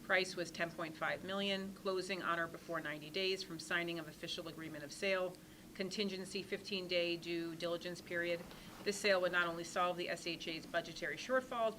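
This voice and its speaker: A woman serving as board secretary, reading a letter into the record in a brisk, businesslike way. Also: lowish voice, steady tone, bright, forceful tone. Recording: noisy meeting room recording.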